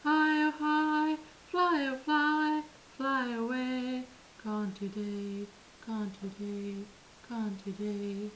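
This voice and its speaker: A woman voicing a happy plane that sings as it soars through the sky, in a drawn-out, sing-song way. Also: fairly high pitch, flat delivery, a bit bright, a little hiss or hum, poor audio. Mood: dreamy singing.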